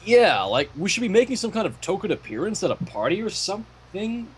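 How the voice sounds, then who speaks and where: A husky young cartoon stallion, played by a man, fairly high for a male. He talks in an offhand, cautious way, like an easygoing husband. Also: big pitch swings, a little fast, heavily acted, poor audio. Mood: unsure. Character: laid-back young male pony husband, cautious, casual.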